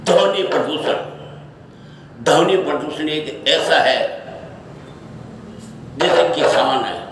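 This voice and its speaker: A man giving an unscripted opinion monologue in halting, short phrases, often repeating himself. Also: on the high side, fairly bright tone. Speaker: social media speaker giving his opinion. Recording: echoey, distant mic, faint noise.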